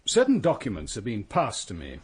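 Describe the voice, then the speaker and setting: An actor playing a coolly courteous, relentless police inspector in a radio drama, formal and probing. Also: male voice, lowish voice, huge pitch swings, fairly quick, slight background noise. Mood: probing, controlled.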